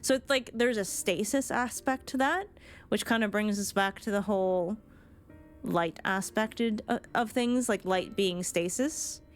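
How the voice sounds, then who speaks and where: A woman's voice: mid-range voice, measured pace. A woman game streamer giving casual reaction commentary on cutscenes, thinking aloud as she goes. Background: game music.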